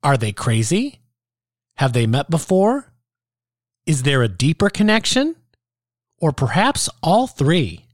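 Animated male announcer, dry close recording. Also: on the high side, slow, long pauses, no echo. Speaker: announcer introducing the play. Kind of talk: scripted narration.

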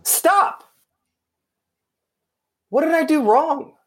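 A man's voice: extremely high pitch, lively intonation, drawn-out words, exaggerated voice. An actor in a mystery audio play, playing an impatient, blunt undercover policeman who poses as a buyer. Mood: alarmed, angry. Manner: blunt, exasperated, demanding. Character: impatient, foul-mouthed male undercover cop posing as buyer.

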